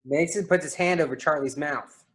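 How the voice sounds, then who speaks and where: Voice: mid-range voice, brisk, a bit bright. A narrator reading scripted stage directions during a staged reading of a play.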